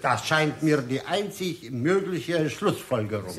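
An actor playing a halting, ponderous scientist with hesitant, clipped phrasing in a 1960s German radio play. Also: male voice, fairly high pitch, lively intonation, fairly bright tone. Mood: hesitant, certain. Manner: hesitant, slow, clipped grammar. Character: halting, ponderous male scientist voicing a strange suspicion.

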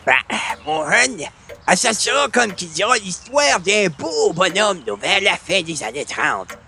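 A man's voice: sing-song, a little slow, bright, forceful tone, slight background noise, full character voice. A man playing a touchy, folksy old Quebec grandfather who rambles and exclaims in a radio comedy. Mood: storytelling. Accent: Quebec French. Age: older. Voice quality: creaky, high-pitched.

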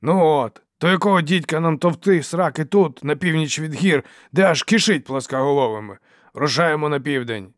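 A man's voice: fairly high pitch, normal speed, no room sound, pitched above the performer's own voice, natural voice. A belligerent male warrior from a fantasy audiobook, acted out by a male reader. He barks and snarls with a short temper and a foul mouth. The recording is close and dry. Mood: aggressive, insistent. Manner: barking, snarling.

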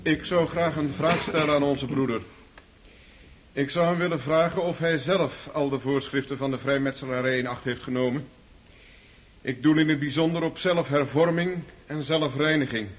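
A righteous, stern lodge brother played by a male actor in a radio play. His voice is on the high side for a man, and he speaks formally, in an admonishing way. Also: fairly even intonation, normal speed, close to an everyday voice. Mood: stern, probing. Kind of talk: radio drama acting.

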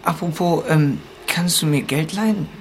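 Cheeky teenage boy, radio drama, higher voice, creaky, flippant slang, sulky. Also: normal speed, a little hiss or hum. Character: cheeky, sulky teenage son glued to his computer. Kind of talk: naturalistic radio drama acting.

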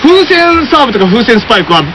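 A man's voice: very high voice, sing-song, fast talker, slight background noise, rough recording. A man co-hosting a radio show, chatting casually and presenting segments over music. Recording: loud music under the voice. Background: loud music.

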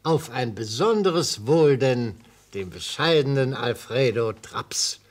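An actor playing a genial retired old prosecutor in a radio play, grandiloquent and oratorical, with a slightly husky voice. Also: male voice, mid-range voice, animated, takes it slowly. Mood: relishing, ceremonious. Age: older. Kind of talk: German radio play acting.

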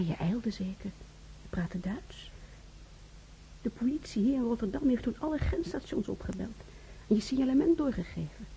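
A motherly, worried woman who fusses and gently scolds in a radio drama. Her voice is fairly high, creaky and breathy. The old recording is muffled, with a faint hiss.

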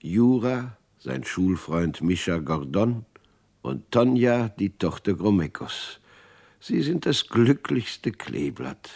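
An actor playing a worried, earnest uncle in a radio play, reading from his diary in a deliberate, emphatic, brooding way. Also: lowish voice, creaky, darkish tone, full character voice. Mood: wistful. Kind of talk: German radio play monologue.

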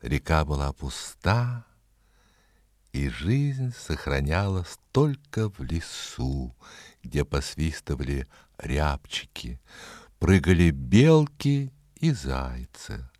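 A man with a very deep voice narrates a fairy tale. His delivery is slow and dramatic, with expressive rises and falls. The recording is dry and close. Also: no room sound.

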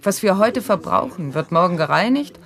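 An actress playing a determined, idealistic adult daughter in a 1970s radio drama. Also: female voice, on the low side, animated, normal speed. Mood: disdainful. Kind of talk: 1970s German radio play acting.

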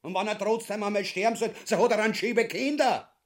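An actor with a Viennese accent playing a pompous, crude, cowardly husband in a satirical radio play, blustering and muttering theatrically. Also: male voice, sits high, a little fast, close to an everyday voice.

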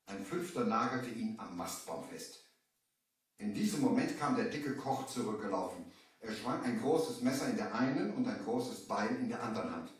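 Ein Mann spielt einen feierlichen, schiffbrüchigen Erzähler im hallenden Hörspiel. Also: male voice, lowish voice, lightly acted. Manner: solemn, theatrical, deliberate diction. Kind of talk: dramatic storytelling in a 1970s German radio play. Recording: roomy, echoing 1970 vinyl radio play, distant.

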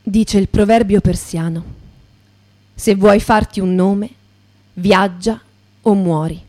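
A woman's voice: medium pitch, fairly even intonation, slow, a little mellow. A woman performer giving a dramatic literary reading in the style of radio theatre. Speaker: stage performer. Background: music.

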